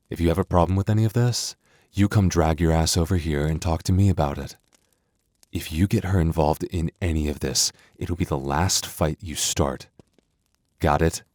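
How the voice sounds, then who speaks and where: A man's voice: very deep voice, a little mellow, no room sound, studio quality. A man playing a young werewolf boyfriend, tender but protective with a tough edge, in an intimate ASMR roleplay. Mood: cold, threatening.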